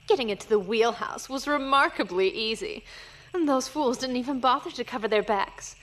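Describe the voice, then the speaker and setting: Woman playing a haughty, high-voiced villainess. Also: normal speed, a bit bright, lightly acted, low-quality recording. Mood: scornful, bossy. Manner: imperious, scornful. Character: haughty, hot-tempered female martial-arts villain. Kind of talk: audio drama acting.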